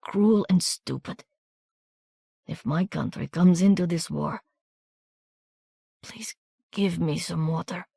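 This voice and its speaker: A reader voicing a wounded, desperate young soldier who speaks in a weak, breathy murmur with a soft foreign accent. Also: female voice, on the low side, slow, pauses often, no echo, clean studio sound, full character voice. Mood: pleading.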